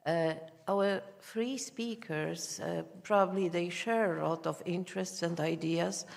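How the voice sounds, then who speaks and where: A woman moderator introduces the speakers, pausing often on "uh" as she searches for words. Her voice sits slightly low and trails into a long reverberant hall. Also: creaky, a little mellow. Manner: hesitant, many filled pauses. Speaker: conference moderator. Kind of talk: formal introduction.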